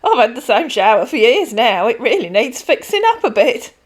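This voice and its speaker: Brief: a guileless old lady in her seventies, put on by a woman reader; raised, lilting and bright, darling on every line. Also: on the high side, big pitch swings, moderate pace, pitched above the performer's own voice. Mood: chatty. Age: 70s. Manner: sweet, calls everyone darling, plain and literal. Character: chatty 76-year-old retired dinner lady, gentle and guileless. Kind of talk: read-aloud storytelling.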